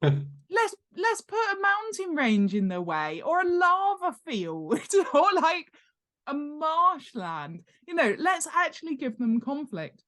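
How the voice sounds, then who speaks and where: A woman's voice: sits high, big pitch swings, slow, fairly bright tone. A woman speaking as an interview guest, explaining her craft conversationally in an enthusiastic, emphatic way, with an easy laugh. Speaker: interview guest, world-building expert and author.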